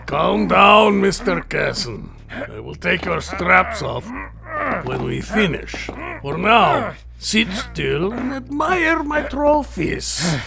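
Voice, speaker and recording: Sinister aristocratic hunter, heavy creak, slow deliberate pace, condescending politeness, audio drama villain. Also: male voice, on the high side, exaggerated voice. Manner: courtly, unhurried, condescending, menacingly polite. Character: genteel, sinister male villain, sadistic aristocratic big-game hunter.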